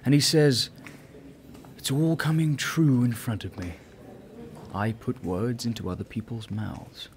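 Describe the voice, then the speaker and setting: A man's voice: mid-range voice, measured pace, slight background noise, close to an everyday voice. An actor playing an enigmatic, all-knowing man in a radio play, teasing and mocking in tone. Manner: sly, teasing, knowing, with soft laughs. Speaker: mysterious visitor.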